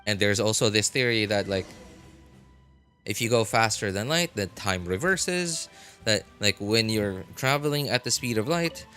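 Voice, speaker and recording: Man, lower voice, casual livestream rambling, halting and repetitive phrasing, faint background music. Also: lively intonation, fairly bright tone, clear, resonant tone. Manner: musing, halting, repeats and restarts phrases. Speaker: game livestreamer.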